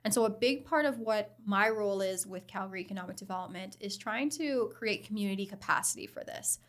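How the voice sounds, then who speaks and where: A middle-aged woman from the Bahamas, answering interview questions in a friendly, measured way and laughing easily. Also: medium pitch. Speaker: guest, program director.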